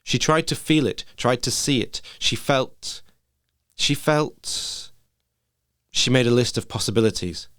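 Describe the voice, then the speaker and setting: A man narrating a literary ghost story in a measured, hushed manner of short phrases. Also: mid-range voice, no echo, clean studio sound.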